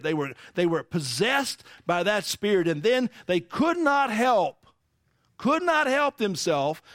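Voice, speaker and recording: A man's voice: sits high, dry recording, studio quality. A man preaching a sermon, teaching emphatically and asking the congregation rhetorical questions. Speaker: pastor.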